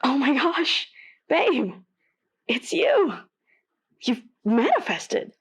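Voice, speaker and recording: A lovestruck caller in a radio play, highish voice, takes her time, fond and teasing. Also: huge pitch swings, very slow, exaggerated voice. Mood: overjoyed. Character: devoted, affectionate, teasing woman in love with a ghost. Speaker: caller to a radio advice show. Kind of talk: audio drama acting.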